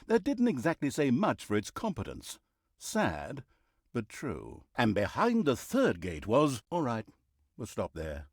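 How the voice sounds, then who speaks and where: Male mock-grand storyteller for a dark fantasy game; wry, pompous, hugely animated delivery, dry studio sound. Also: medium pitch, measured pace, husky, no echo, full character voice. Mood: flustered aside. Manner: wry, mock-grand, breaks into flustered asides. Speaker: video game narrator. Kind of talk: theatrical storybook narration and game announcements.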